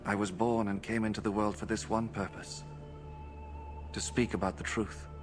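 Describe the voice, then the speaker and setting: An actor playing a calm, solemn holy man held prisoner in a film, quietly resolute, with a hushed, breathy delivery. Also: fairly low pitch, normal speed, music in the background, natural voice. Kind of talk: dramatic film acting. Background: film score.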